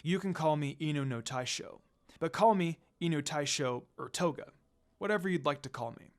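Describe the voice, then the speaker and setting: Guy doing a kindly old-world demon lord for a story, soft, calm, lower voice. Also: normal speed, close to an everyday voice. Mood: kind, curious. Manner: kind, soft, smiling.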